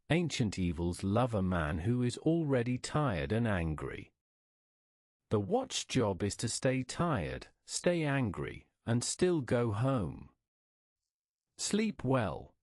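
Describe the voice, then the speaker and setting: A man with a British accent reading a scripted bedtime story in a measured, deliberate way as narrator. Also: mid-range voice, a little mellow, no room sound, clean studio sound. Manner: measured, deliberate read-aloud delivery.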